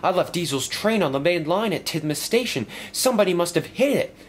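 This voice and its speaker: Brief: man voicing an old worried storybook steam engine, pitched high, lively. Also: brisk. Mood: anxious. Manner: anxious, earnest.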